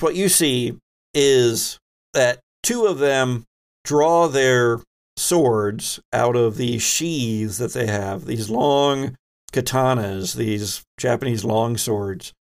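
A man with a slow, measured delivery runs a tabletop role-playing game. He sets scenes dramatically as game master, in a mid-pitched voice.

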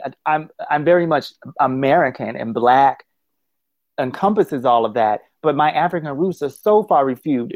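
A man's voice: medium pitch, darkish tone, dry recording. A man talking thoughtfully in a casual conversation over a call, with many restarts and affirmations. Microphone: phone call.

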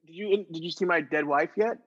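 Higher-voiced man doing improv comedy, slightly muffled. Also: brisk, fairly bright tone, slightly rough.

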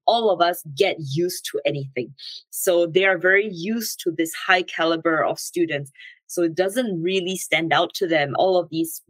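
A woman from Singapore in her thirties, a guest expert explaining things in a measured way in a podcast interview. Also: low voice, a bit bright, no room sound, low-quality recording. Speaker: guest expert, education director.

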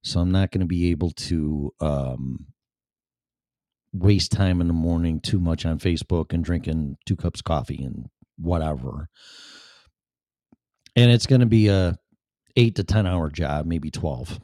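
A man's voice: sits low, measured pace, pauses often, warm tone, dry recording, clean studio sound. A man with a Chicago accent hosting his live-stream show in a chatty, profane, self-deprecating ramble. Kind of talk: casual rambling monologue.

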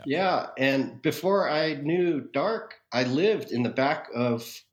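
A man's voice: on the high side, expressive, slow pace, roomy sound. A man from Hawaii with an American accent speaks as a podcast guest, telling opinionated stories in a wordy, erudite, wry way. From Hawaii, United States. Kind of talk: conversational interview, opinionated storytelling.

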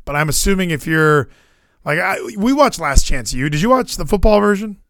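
A man's voice: high-pitched, moderate pace, no echo, clean studio sound. An American man in casual sports chat, rambling and opinionated, swearing freely as he goes. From Hawaii, United States. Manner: rambling, animated, profane, opinionated.

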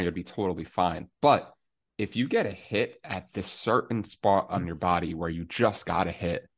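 Young man, podcast co-host, upbeat, casual, lowish pitch. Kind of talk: podcast hosting and interviewing.

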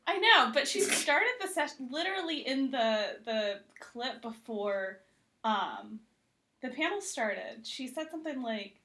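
Casting: female critic as podcast guest, bright and forceful tone, fairly high voice, informal and wry. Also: animated, unhurried. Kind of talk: casual chat.

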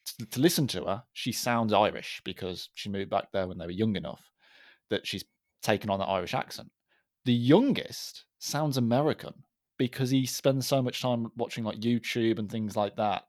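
A man's voice: on the low side, moderate pace, a little mellow, no room sound, clean studio sound. A man with a Brummie accent co-hosting a podcast, chatting casually and rambling off on tangents. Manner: rambling, chatty, trails off mid-thought. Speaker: podcast co-host. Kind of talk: casual chat.

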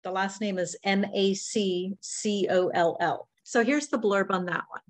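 A woman hosting a book podcast, introducing and interviewing a guest with quick, friendly interjections. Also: mid-range voice, steady tone. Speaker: podcast host. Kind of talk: casual interview chat.

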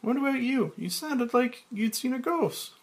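A man voicing an excitable, earnest young woman in a breathless, chattering falsetto. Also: normal speed.

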